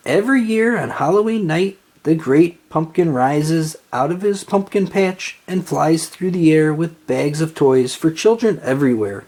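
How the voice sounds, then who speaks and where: An earnest young boy from a children's storybook, as a reader voices him. He explains with real belief, his slightly rough voice rising and falling in animated swings. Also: mid-range voice, measured pace, few pauses. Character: earnest young boy devoted to a pumpkin legend.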